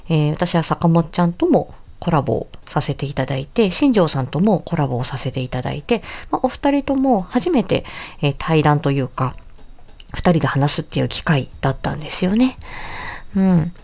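A woman's voice: deep voice, expressive, moderate pace, creaky, darkish tone. A woman hosting a casual solo podcast, chatting politely and effusively. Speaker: podcast host and amateur voice actor.

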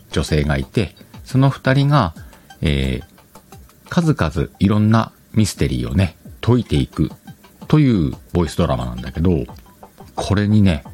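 A man's voice: very deep voice, darkish tone. A man hosting a casual solo talk show, chatting in short, conversational phrases. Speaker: host of an audio talk show. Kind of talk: casual solo radio-style chat.

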